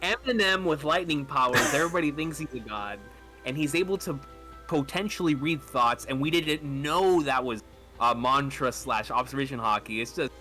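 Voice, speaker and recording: A man talking casually and excitedly as a fan, repeating phrases for emphasis. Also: medium pitch, moderate pace, over music. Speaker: podcast speaker discussing manga.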